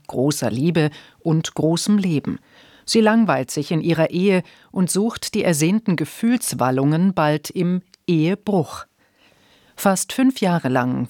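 A woman's voice: sits low, a little mellow, no echo, clean studio sound. A woman radio announcer reading a scripted introduction to a literary broadcast. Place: dry radio studio.

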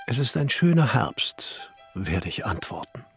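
An actor playing a shrewd, deferential court alchemist in a German radio drama, speaking his inward thoughts in a husky, hushed voice. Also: medium pitch, very animated, a little slow, faint music behind, exaggerated voice. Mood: watchful, deferential.

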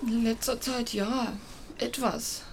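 Worried, halting, worn-out woman. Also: fairly high pitch, fairly even intonation, a little hiss or hum. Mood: hesitant, worried. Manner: hesitant, halting, plain-spoken. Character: worried, worn-out pregnant working-class woman, plain and hesitant. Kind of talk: naturalistic radio drama dialogue.

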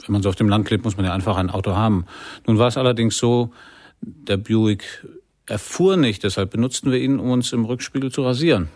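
An actor playing an easygoing jazz musician who tells droll, deadpan anecdotes in a radio play. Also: male voice, fairly low pitch, fairly quick, no echo, studio quality, close to an everyday voice.

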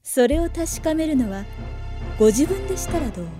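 A woman playing a proud, seductive dark-world noblewoman in an audio drama, composed and imperious. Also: sits high, sing-song, brisk, over music. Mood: cool, poised. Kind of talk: Japanese audio drama acting.

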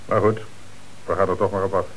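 A man's voice: low-pitched, flat delivery, brisk, low-quality recording. A man acting in a radio play as a stern, dry, businesslike police commissioner, speaking with insistent authority. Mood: matter-of-fact. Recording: hissy old radio play recording.

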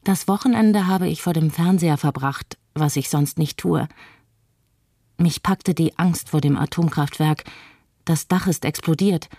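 A low, mellow female voice, recorded dry, plays a frightened young mother acted by a woman. She gives a calm, reflective testimony as a radio play monologue. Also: measured pace, no echo. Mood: subdued, reflective. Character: frightened young mother recalling fleeing with her daughter.

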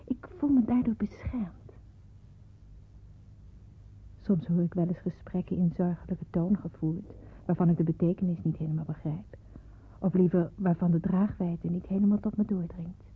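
A woman's voice: on the low side, moderate pace, husky. Earnest schoolgirl narrator, thin phone-like sound. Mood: earnest, content. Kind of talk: scripted first-person narration in a radio drama. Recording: thin phone-like radio drama recording.

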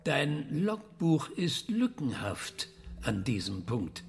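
An actor playing a patient professor in his sixties who questions carefully and deliberately in a radio drama. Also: fairly high pitch, sing-song, vocal fry, slight background noise, heavily acted. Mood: probing, patient. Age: 60s. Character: 68-year-old male professor, patient, careful, probing questioner.

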